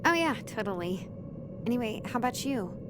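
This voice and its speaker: Woman acting a bubbly, high, girlish tease. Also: very animated, fairly quick, background noise. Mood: chatty. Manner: chirpy, playful, girlish. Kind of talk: visual novel game voice acting.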